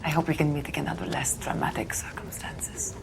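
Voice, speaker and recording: An actress playing a gentle, poised and formal woman in a 1940s detective game. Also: deep voice, fairly quick, gravelly, in a whisper, poor audio.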